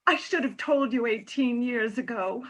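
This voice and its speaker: Woman acting a high, bright, wry former nurse. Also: moderate pace, rough recording. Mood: nervous, confessing. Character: frank, wry middle-aged former nurse, old flame. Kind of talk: comic stage play reading.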